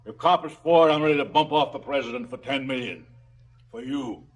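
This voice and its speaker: An actor playing a callous, blustering male crime boss in a stylized radio drama, slangy and falsely fatherly with a husky voice. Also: medium pitch, a little fast, natural voice. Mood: mock-generous.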